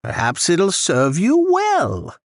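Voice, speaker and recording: An actor voicing a chatty, wry male shopkeeper in a video game, with a theatrical, deliberate sales patter. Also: high voice, wildly swooping pitch, no echo, clean studio sound, exaggerated voice. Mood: musing, solemn. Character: chatty male shopkeeper, wry, easily annoyed arms trader. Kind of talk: scripted video game character lines.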